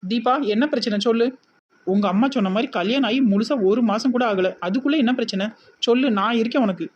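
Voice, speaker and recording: A woman acts a wry village sister-in-law in a comedy skit, with a fairly high voice and a southern Tamil Nadu accent. She sounds mock-concerned and put-upon, on a muffled, faintly noisy recording. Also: fast talker, darkish tone, close to an everyday voice. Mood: coaxing. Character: exasperated, sarcastic village sister-in-law playing the supporter.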